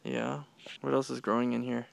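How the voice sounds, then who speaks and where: A man's voice: mid-range voice, little pitch movement, normal speed, gravelly, rough recording. A man films his children outdoors in a home garden, asking them plant names and encouraging their answers. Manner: curious, gently quizzing, encouraging. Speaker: parent filming a garden tour. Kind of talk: casual quizzing chat with children. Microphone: handheld camera while walking.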